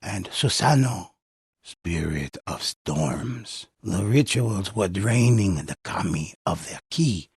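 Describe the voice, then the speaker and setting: An old teller of dark legends for a video game. His voice is low and very husky, with a slow, deliberate delivery. He speaks gravely and solemnly, as dramatic scripted narration. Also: lively intonation, no room sound, studio quality, heavily acted. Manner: slow, solemn, measured storytelling. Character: old male storyteller, grave and solemn, telling ghost legends.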